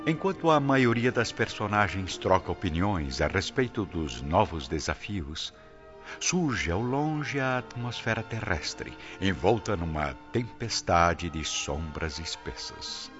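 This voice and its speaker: Male radio soap narrator, grandiloquent, unhurried and slow, dull lo-fi sound. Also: sits low, sing-song. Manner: solemn, grandiloquent. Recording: dull lo-fi audio with music behind.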